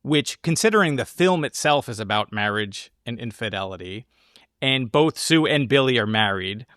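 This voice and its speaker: A man's voice: medium pitch, expressive, normal speed, a bit bright, no room sound. A man with a mid-range voice discusses film as a podcast co-host. He is engaged and informal while he analyzes, and the recording is very dry.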